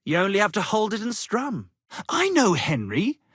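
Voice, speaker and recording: A pompous medieval poet and musician from a video game, speaks with florid theatrical flair. His voice is husky and swings widely in pitch, in a dry, close recording. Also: huge pitch swings, moderate pace, a bit bright, no room sound, full character voice. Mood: breezy, boastful. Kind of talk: theatrical game character dialogue.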